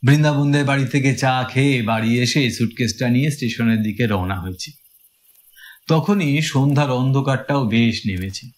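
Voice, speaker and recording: A man narrating a suspense audio story in a dramatic, scripted first-person style. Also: mid-range voice. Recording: music behind the voice. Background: clear background music.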